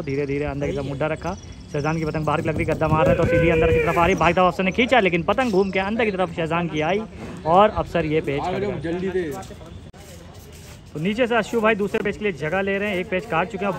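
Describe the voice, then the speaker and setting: A man giving rapid, excited live play-by-play of rooftop kite fights and talking to viewers. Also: a little high. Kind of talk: live sports commentary. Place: rooftop outdoors.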